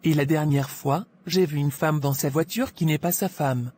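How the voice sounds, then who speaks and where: A woman's voice: low-pitched, moderate pace, mellow, close to an everyday voice. A woman playing a gossipy, scheming pharmacy employee in a cartoon drama, insinuating and self-assured, with faint noise. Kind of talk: animated moral drama dialogue.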